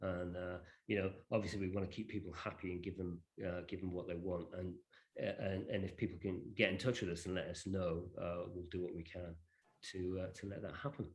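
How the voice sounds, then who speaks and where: A man presenting a digital library informally to an online session, speaking in a halting, hesitant way. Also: deep voice, level tone. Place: online video call.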